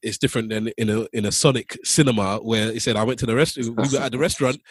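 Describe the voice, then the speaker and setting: Rambling man with a slightly husky voice. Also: medium pitch, steady tone, fast. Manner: rambling, repeats himself. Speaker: podcast co-host. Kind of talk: casual chat.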